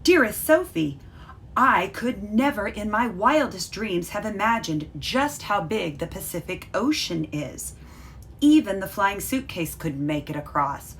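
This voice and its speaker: A woman voicing an excited, friendly traveling bunny in a children's storybook read-aloud, with expressive delivery. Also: mid-range voice, measured pace, a little hiss or hum. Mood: excited, breezy.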